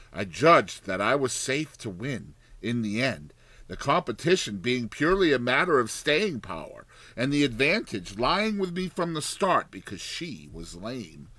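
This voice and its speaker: Animated male storyteller, very dry sound. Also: mid-range voice, dry recording. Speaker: audiobook narrator. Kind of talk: dramatized literary storytelling.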